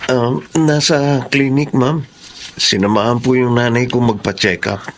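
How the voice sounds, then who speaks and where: A man's voice: medium pitch, steady tone, a little slow, close to an everyday voice. A man acting a remorseful grown son, a humble farmer, in an emotional and hesitant television drama style. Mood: shy, polite. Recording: music under the voice.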